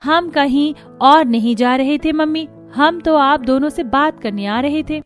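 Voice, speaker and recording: A woman's voice: high-pitched, measured pace, faint music behind. A woman playing a polite but firm young daughter-in-law in a cartoon.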